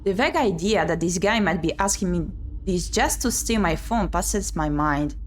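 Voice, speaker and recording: A woman's voice: on the low side, lively intonation, normal speed. A woman streamer reading a visual novel aloud and chatting casually about it. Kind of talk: casual playthrough commentary and narration. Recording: clear background noise.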